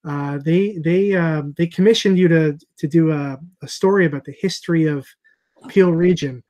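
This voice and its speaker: A man's voice: fairly high pitch, normal speed, a little mellow. A man, co-hosting a casual video show over a call from a small room on an earphone mic. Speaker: show co-host at a comic shop. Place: small room at home, indoors. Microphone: wired earphones on a video call.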